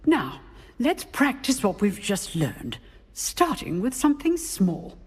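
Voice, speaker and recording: A woman's voice: on the high side, sing-song, slow, a little hiss or hum. A woman voicing a strict, demanding female magic professor, with a formal, commanding delivery. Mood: stern. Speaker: professor teaching dueling. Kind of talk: video game voice acting.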